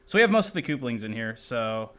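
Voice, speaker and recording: A man hosting a podcast in an animated, self-amused way, reading a script and chatting casually. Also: mid-range voice, fast, clean tone. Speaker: podcast host and narrator. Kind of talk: casual comedic reading and chat.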